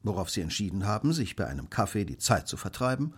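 A man's voice: fairly low pitch, big pitch swings, fast, breathy edge. An actor playing a brilliant, smug Victorian detective in a German radio play, precise and lecturing in his delivery. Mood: smug, explanatory. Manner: precise, self-assured, lecturing.

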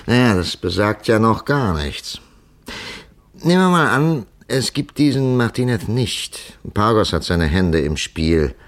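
An actor playing a shrewd, methodical police commissioner in a 1950s German radio crime drama, musing aloud with deliberate, patient superiority. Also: male voice, fairly low pitch, big pitch swings. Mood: musing, matter-of-fact.

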